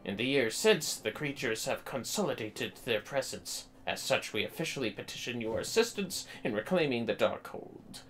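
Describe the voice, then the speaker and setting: A man voicing a solemn knight in a fantasy game, speaking formally in measured, archaic phrasing. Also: medium pitch, expressive, fairly bright tone, faint music behind, lightly acted, low-quality recording. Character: solemn knight officially petitioning an adventurer for aid.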